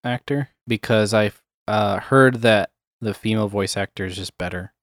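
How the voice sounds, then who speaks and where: A man's voice: lowish voice, moderate pace, no echo, clean studio sound. A man chatting casually about games, rambling with many fillers and chuckles. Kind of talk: casual chat.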